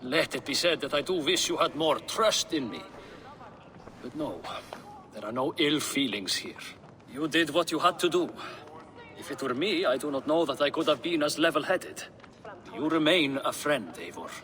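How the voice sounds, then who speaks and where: Game role: sincere Norse companion, forceful bright tone, considered delivery. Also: male voice, fairly high pitch, animated, normal speed, a little hiss or hum. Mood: earnest. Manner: earnest, considered. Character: level, loyal male Norse friend. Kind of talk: dramatic video game acting.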